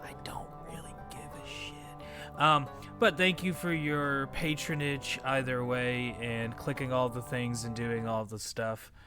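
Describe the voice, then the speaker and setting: A man's voice: mid-range voice, fairly even intonation, unhurried, music in the background. Laid-back Texan man hosting a podcast. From Texas. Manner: laid-back, joking, sprinkles in y'all. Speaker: podcast host. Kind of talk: casual chat.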